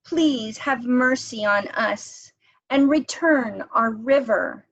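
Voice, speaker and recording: Woman calling out as a high, pleading village child. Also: takes it slowly, fairly bright tone, lo-fi sound, full character voice. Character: two pleading village children begging forgiveness.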